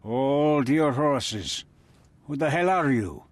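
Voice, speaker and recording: A man's voice: medium pitch, expressive, slow. A man voicing a cold, threatening crime boss and smuggler in video game cutscene dialogue. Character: menacing male crime boss, smuggler and cutthroat.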